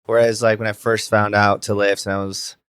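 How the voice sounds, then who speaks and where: Low, smooth-voiced young man chatting. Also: little pitch movement, fairly quick, fairly bright tone, dry recording, clean studio sound. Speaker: podcast co-host. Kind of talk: casual chat.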